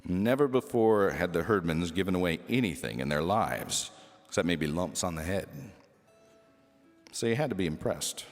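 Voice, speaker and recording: Animated male storyteller in an echoey hall. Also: deep voice, faint music behind. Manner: animated, dramatic pauses and emphasis. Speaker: storyteller reading a children's book aloud. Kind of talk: expressive scripted narration of a humorous story.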